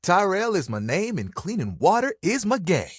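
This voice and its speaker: A man's voice: sits high, wildly swooping pitch, normal speed, slightly rough, dry recording, clean studio sound, exaggerated voice. A man voicing a friendly towel come to life as a young man in a video game, chatty, eager and casual. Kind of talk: video game character acting.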